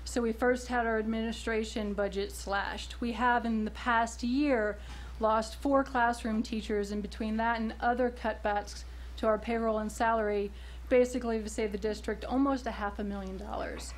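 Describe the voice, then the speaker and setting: A woman's voice: on the high side, fairly even intonation, moderate pace, low-quality recording. A woman speaking as a parent during public comment at a school board meeting, earnest and pointed, pressing for action at a podium microphone.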